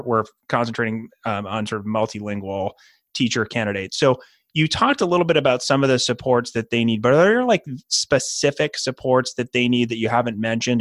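A man's voice: mid-range voice, no room sound, studio quality. A man hosting a podcast interview, asking questions in a rambling, hesitant way with folksy asides. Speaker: podcast host.

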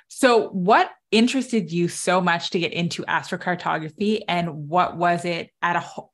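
A woman's voice: lowish voice, a bit bright. A woman hosting a podcast, introducing her guest and reacting with upbeat, gushing enthusiasm. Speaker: podcast host.

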